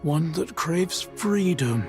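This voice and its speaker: A philosophical older doctor in a video game cutscene. He speaks very slowly in a slightly high voice, solemn and musing. Music plays strongly behind him. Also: lively intonation.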